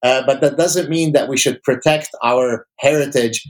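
A man's voice: mid-range voice, moderate pace, poor audio. A man from Lebanon whose first language is Arabic, giving emphatic, articulate opinions in formal vocabulary as an academic interview guest.

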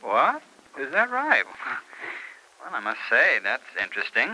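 Puzzled guy on the phone, very bright edgy voice, swoopy, old radio drama. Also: medium pitch, huge pitch swings, measured pace, husky, heavily acted, rough recording. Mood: curious, surprised. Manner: hesitant, surprised. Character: puzzled, hesitant suitor answering a telephone. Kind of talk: old-time radio soap opera acting. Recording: vintage radio recording.

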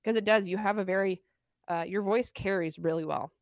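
Chatty woman with a smooth voice. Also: medium pitch, brisk, no echo, clean studio sound. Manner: chatty, many fillers and restarts. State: asthmatic cough at times. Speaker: podcast co-host. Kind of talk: casual chat.

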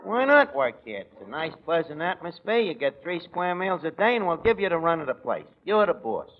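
A man's voice: fairly high pitch, big pitch swings, brisk. A wisecracking, streetwise tavern manager from 1940s radio comedy. His ungrammatical patter swings up and down, through a muffled, very noisy old recording. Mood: persuasive. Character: brash, uneducated wisecracking tavern manager, a man.

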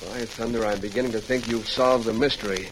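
A man playing a gruff, curious frontier sheriff in an old-time radio western, folksy and excitable. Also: on the low side, fairly quick, lightly acted. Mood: puzzled, curious. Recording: hissy, crackly old radio recording.

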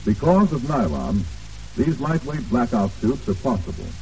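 An American man announcing on 1940s radio, reading a script in a formal, polished way with deliberate emphasis. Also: medium pitch, very animated, darkish tone, a little hiss or hum. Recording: old 1940s radio broadcast, distant, music.

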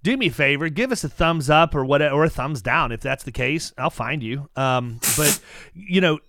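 A man hosting a casual podcast, talking animatedly with many restarts and making pitches to his listeners. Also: lively intonation, normal speed, bright, forceful tone, no echo, clean studio sound. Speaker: podcast host.

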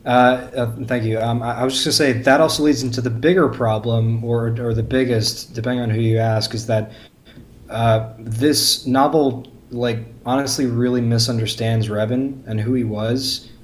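A man in a casual fan discussion, speaking haltingly as a podcast panelist and often repeating words. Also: medium pitch, fairly even intonation. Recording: faint background noise.

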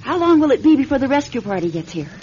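A woman's voice: high-pitched, big pitch swings, fast talker, darkish tone. An actress playing an anxious stranded woman in a radio drama, speaking in a naturalistic, hesitant, pleading way. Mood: hesitant, urgent. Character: anxious stranded young woman, a secretive money courier. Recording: dull, noisy radio drama recording.

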